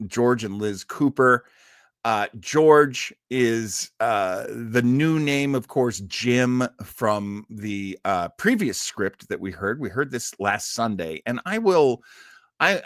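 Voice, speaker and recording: A man hosting a casual live talk in a chatty, halting way with wry asides. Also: mid-range voice, fairly bright tone, no echo, studio quality. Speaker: host. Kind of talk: casual live-stream talk on old radio comedy.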